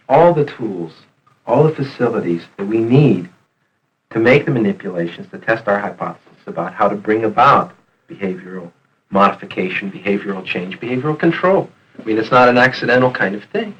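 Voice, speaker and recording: Male scientist interviewee, lowish husky voice, emphatic explanatory delivery. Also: fairly bright tone, highs cut off. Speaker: research scientist.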